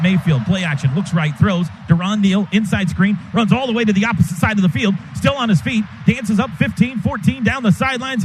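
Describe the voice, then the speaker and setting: High-voiced man calling football on radio. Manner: rapid clipped calls that rise to shouts on big plays. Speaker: radio play-by-play announcer. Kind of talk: live radio football play-by-play. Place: stadium radio booth. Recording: radio broadcast with loud crowd noise.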